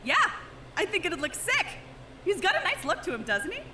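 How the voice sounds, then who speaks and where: A woman's voice: very high voice, wildly swooping pitch, normal speed, echoey room, heavy background noise, full character voice. A woman playing a brash, foul-mouthed young woman. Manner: brash, swears a lot, bossy. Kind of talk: fan audio drama acting.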